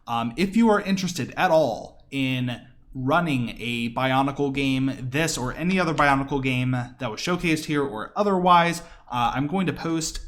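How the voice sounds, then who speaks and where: A man giving casual, explanatory live commentary on a video game as its speedrunner. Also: medium pitch. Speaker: speedrunner commentating own run.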